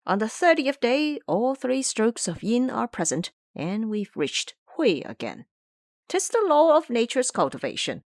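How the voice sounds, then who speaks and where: Audio drama monkey disciple, male, played by a woman: clever, emphatic lecturer, swooping and animated. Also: a little high, measured pace, dry recording, clean studio sound, voice pushed up. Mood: earnest teaching. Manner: didactic, emphatic.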